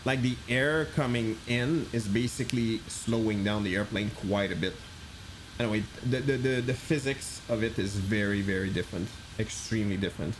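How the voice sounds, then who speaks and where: A man's voice: lowish voice, normal speed, background noise. A man streaming a flight simulator, chatting casually, thinking aloud and answering chat with many fillers.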